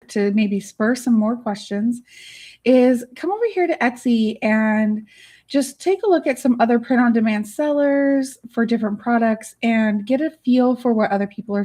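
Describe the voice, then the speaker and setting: A middle-aged woman with a raspy, hoarse voice, hosting a casual live chat from her home studio on a studio mic. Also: a little high, animated, normal speed, mellow. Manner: chatty, bubbly, giggly. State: hoarse, worn voice. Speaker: livestream host. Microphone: studio microphone.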